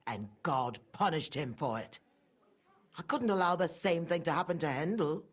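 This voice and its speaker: A woman's voice: low-pitched, lively intonation, moderate pace, breathy edge. An actress playing a middle-aged, sanctimonious and scornful mother, a pious murderess without remorse, in a dramatic video game scene. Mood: righteous scorn. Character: pious, murderous mother, haughty, fanatical and unrepentant.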